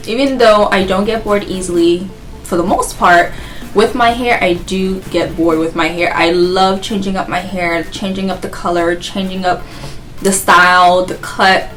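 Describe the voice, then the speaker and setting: A woman's voice: medium pitch, unhurried, a bit bright, faint music behind, low-quality recording. A woman in her twenties from Haiti, chatting casually and rambling about herself, laughing often. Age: 20s. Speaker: vlogger. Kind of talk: casual get-to-know-me chat.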